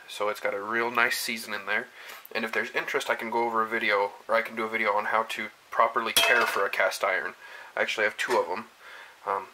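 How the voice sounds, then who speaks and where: A man's voice: lowish voice, poor audio. A young man hosting a home cooking show, casually talking through each step at his kitchen stove. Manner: relaxed, folksy, talks to camera step by step. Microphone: camera mic, speaker off frame, moving around. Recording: kitchen noise, sizzling pan. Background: frying and sizzling pan.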